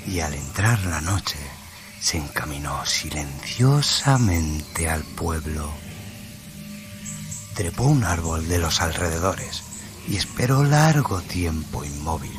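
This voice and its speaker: A man with a very low voice narrates a dramatic tale. He takes his time, solemn and suspenseful, letting phrases sink into a hush. Music plays clearly beneath him. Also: lively intonation, slow pace. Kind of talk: dramatic scripted storytelling, radio-play narration. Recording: music bed under the voice.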